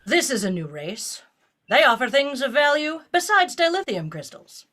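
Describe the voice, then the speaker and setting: An actress playing an authoritative, guarded female starship captain in an audio drama, giving crisp, imperious orders. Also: sits high, sing-song, normal speed, a bit bright, full character voice. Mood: commanding.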